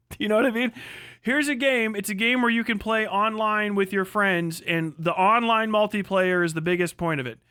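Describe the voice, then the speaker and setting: A man's voice: high-pitched, moderate pace, sharp tone, smooth, no echo, clean studio sound. A man from the United States giving a casual, profane opinion rant as a livestream host, blunt, irreverent and emphatic.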